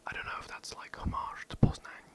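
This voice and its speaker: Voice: fairly quick, a little mellow. A young adult with an English accent, whispering a casual, rambling history overview for ASMR. The talk is loose and self-mocking, with swearing and asides. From England. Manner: casual, rambling, swears, giggles at own slips. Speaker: ASMR creator. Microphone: poor quality home mic.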